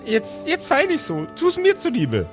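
A man's voice: very high-pitched, wildly swooping pitch, measured pace, music in the background, voice pushed up, full character voice. A man voicing a hesitant, coaxing young woman in an improvised role-play audio story.

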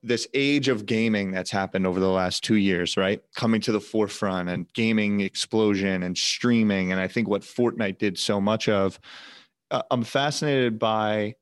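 A man hosting a casual interview, giving quick backchannels and asking long, loose questions. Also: on the low side, clear, resonant tone, dry recording. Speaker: podcast host.

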